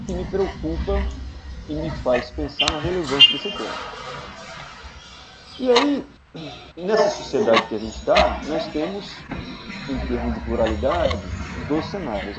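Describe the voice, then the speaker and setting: A man with a Brazilian accent giving an academic panel talk, explaining deliberately and often adding short tag questions. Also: medium pitch. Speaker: academic panelist, researcher and ethics lecturer. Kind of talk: academic panel presentation, extemporaneous. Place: remote online conference call. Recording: dull lo-fi online call, loud noise.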